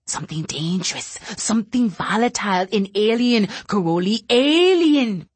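A woman voicing a frail, nervous young male telepath who wails and snaps, husky-voiced. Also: mid-range voice, expressive, moderate pace, dry recording, pitched above the performer's own voice. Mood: frightened, frantic. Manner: frantic, wailing, then bitter and snappish.

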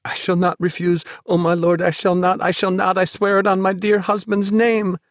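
Man playing a high-voiced, breathless, pleading older woman. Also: little pitch movement, normal speed, no echo, clean studio sound. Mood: fearful pleading. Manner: gasping, pleading, deferential. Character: humble, fearful midwife past fifty, breathlessly pleading.

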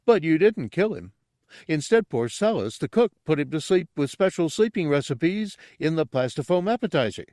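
High-pitched, dim-witted alien brute. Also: male voice, sing-song, moderate pace, darkish tone, no room sound, clean studio sound. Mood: excited, accusing. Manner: broken simple grammar, eager, emphatic. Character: dim-witted, excitable, childlike brutish alien guard, male.

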